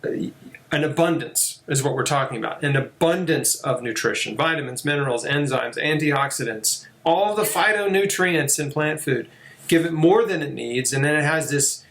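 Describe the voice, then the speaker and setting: Gravelly man host in echoey room. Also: fairly high pitch, moderate pace. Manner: relaxed, chatty, many fillers. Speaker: podcast host. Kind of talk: conversational interview.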